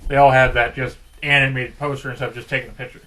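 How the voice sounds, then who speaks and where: A man co-hosting a podcast, casually telling a story pitch to friends in loose everyday speech. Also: flat delivery, fast, pushed and bright. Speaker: podcast co-host.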